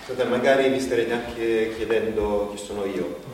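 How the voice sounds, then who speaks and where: An actor playing a self-questioning performer who explains himself to an audience in a halting, self-deprecating way. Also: male voice, on the low side, fairly even intonation, close to an everyday voice. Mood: searching, awkward. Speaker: stage actor. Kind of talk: theatrical monologue addressed to the audience. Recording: very echoey hall, distant mic, faint noise.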